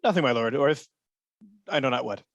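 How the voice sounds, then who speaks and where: A man's voice: mid-range voice, a little fast, dry recording, lightly acted. A man playing a sly soldier in a Shakespearean radio play, hesitant and deferential while insinuating. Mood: sly, feigned reluctance.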